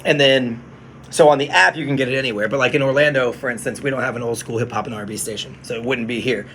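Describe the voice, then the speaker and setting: A man who works as a radio DJ, chatting casually on a phone livestream from his studio, relaxed and explaining things to viewers. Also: medium pitch, fast talker, a little hiss or hum.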